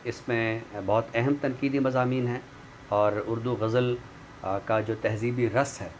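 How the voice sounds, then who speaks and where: A man speaking in a measured, formal, literary way as a commentator discussing books. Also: mid-range voice, steady tone. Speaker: literary commentator and guest expert. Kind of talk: literary talk and book review with some poetry recital. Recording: clearly noisy background.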